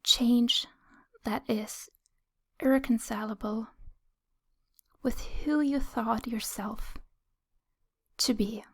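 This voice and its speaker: Slow, breathy whispering woman guiding meditation. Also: a little high, steady tone. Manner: soothing, gentle, with long pauses between short phrases. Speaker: meditation guide. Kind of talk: guided meditation, whispered and soft-spoken.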